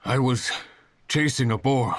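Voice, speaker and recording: A man voicing a weakened, grateful hunter in a video game, speaking in a halting, earnest way. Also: mid-range voice, no echo, close to an everyday voice. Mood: relieved, weary. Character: weakened, grateful male boar hunter rescued from the cold.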